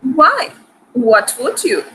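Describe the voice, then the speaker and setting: Woman playing a haughty noble lady, high and animated. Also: extremely slow, full character voice. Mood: proud, firm. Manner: haughty, arch, theatrical. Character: proud, sharp-witted noble lady in mourning. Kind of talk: dramatic reading of Shakespearean comedy.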